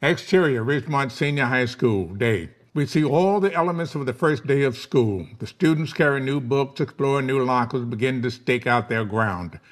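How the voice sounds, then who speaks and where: A man's voice: medium pitch, moderate pace, few pauses. A man reading scene headings and stage directions as narrator in a scripted table read.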